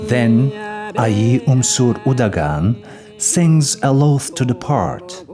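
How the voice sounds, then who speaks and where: A man reading scripted narration of a folk epic in a formal, measured storytelling manner. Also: medium pitch, lively intonation. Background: music.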